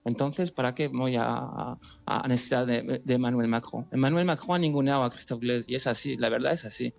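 Earnest man, halting and emotional. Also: medium pitch, fairly even intonation, gravelly. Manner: halting, many restarts, earnest. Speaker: interviewee. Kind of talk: emotional phone-in interview.

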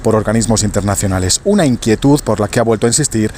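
A man's voice: on the low side, fast talker, a little mellow, a little hiss or hum. A man with a Castilian Spanish accent reading a scripted news report.

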